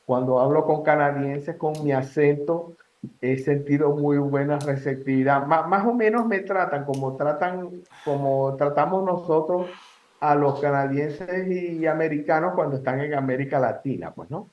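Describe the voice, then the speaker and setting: A Venezuelan man in his sixties speaks in a measured, advising way, dropping "este" as he thinks. His voice sits a bit high for a man. The sound is roomy and dull, as if from an echoey space on a lo-fi line. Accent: Venezuelan Spanish. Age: 60s. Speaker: guest. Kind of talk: casual interview answers.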